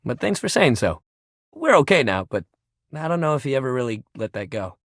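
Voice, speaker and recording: Casting: laid-back young jock coach, a living shuttlecock in a video game. Very lively, swooping intonation, slangy and encouraging, dry close recording. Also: male voice, medium pitch, brisk, no echo. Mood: downcast, hesitant. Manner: slangy, bro-ish, encouraging, swearing casually. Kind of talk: video game character voice acting.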